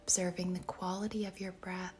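A woman leading a guided meditation in a soft, breathy, whispery voice, speaking gently and deliberately with long pauses between short phrases. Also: fairly low pitch, little pitch movement, a little hiss or hum. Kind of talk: guided meditation, slow and soothing narration.

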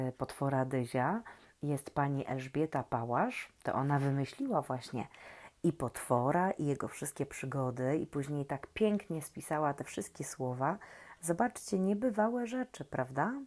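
A woman who hosts and reads stories for young children, gently and playfully, asking questions and leaving expressive pauses. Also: low-pitched. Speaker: children's story reader and host. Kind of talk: reading aloud to young children, talking to the listeners.

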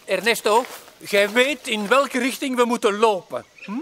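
Man acting a high-voiced, commanding comic-book hero. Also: normal speed, a bit bright. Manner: urgent, commanding. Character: brave, take-charge young comic-book hero. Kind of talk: comic book read aloud in character.

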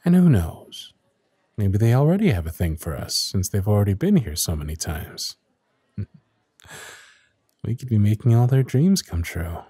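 Man as a flamboyant stage magician, lively and theatrical. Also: lowish voice, moderate pace, long pauses, reverberant. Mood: breezy, confident. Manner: grand, theatrical showman patter. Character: flamboyant, confident male stage magician, playful charmer. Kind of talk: scripted ASMR audio roleplay.

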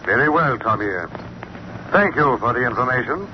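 An actor playing a commanding, probing mystery crime-fighter in 1940s radio drama, with a deliberate delivery. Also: male voice, mid-range voice, expressive, lightly acted. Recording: muffled, noisy old radio recording.

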